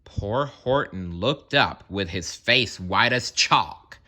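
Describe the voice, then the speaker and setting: Male children's storyteller: slow, very lively intonation, expressive, dramatic emphasis. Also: on the low side. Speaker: storybook reader. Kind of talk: expressive children's read-aloud narration with asides.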